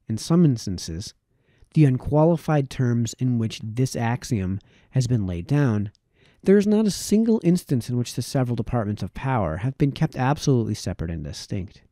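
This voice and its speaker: A man reads a formal, old-fashioned text aloud in a very warm, dark, relaxed voice. His pitch moves expressively as he shapes long sentences with deliberate emphasis. The sound is close and dry. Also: dry recording. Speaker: audiobook reader.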